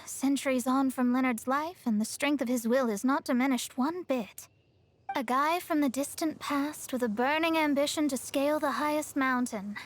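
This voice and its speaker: A woman voicing a proud, self-assured young female astrologist in a video game, articulate and a little haughty. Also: high-pitched. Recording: faint music behind the voice.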